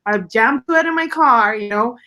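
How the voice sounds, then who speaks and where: A woman's voice: a little high, animated, normal speed, sharp tone. A woman from Venezuela with a Spanish-tinged accent, hosting a casual roundtable chat over a video call. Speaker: host.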